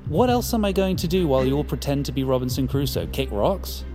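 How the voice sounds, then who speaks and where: A man's voice: medium pitch, lively intonation, fast, dark tone, close to an everyday voice. Man as a sarcastic, wordy scientist over faint music. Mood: sardonic, grudging. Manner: sardonic, verbose. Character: sarcastic, grudging, wordy male computer scientist. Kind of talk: audio fiction acting.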